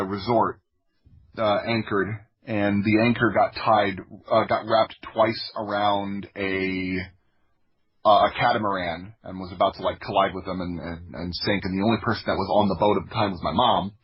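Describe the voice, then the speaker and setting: Dull lo-fi recording, very husky rough male voice, low pitch, reflective storyteller, halting phrasing, podcast guest. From Florida, United States. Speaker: podcast guest, audio drama creator. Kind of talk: personal storytelling in an interview.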